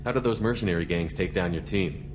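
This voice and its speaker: A steady military commander from a video game, played by a man, with a low voice and quick delivery. He is direct, calm and businesslike, probing for answers, with noisy ambience behind him. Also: close to an everyday voice. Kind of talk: video game dialogue acting.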